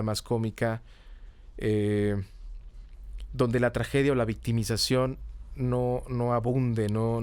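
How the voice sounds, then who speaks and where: A middle-aged Mexican man with a mid-pitched voice talks as a podcast guest. He explains in a conversational way and often checks in with short tag questions. The sound is dry, with little room in it. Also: a little mellow, no echo. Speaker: podcast guest, comedy teacher and writer. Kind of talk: casual conversational interview answers.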